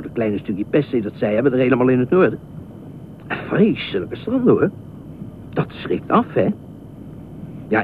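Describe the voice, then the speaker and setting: A man's voice: medium pitch, lightly acted. A man in a radio play acting an eccentric, talkative lifeguard, anxious and pleading, rambling and repeating himself. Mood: fretful, confiding. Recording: tinny band-limited radio play, faint noise.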